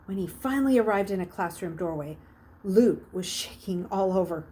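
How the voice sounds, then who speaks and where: A woman reading a children's novel aloud as a storyteller, narrating expressively, with emphatic swells and hushed phrases. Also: mid-range voice, expressive, moderate pace, slight background noise.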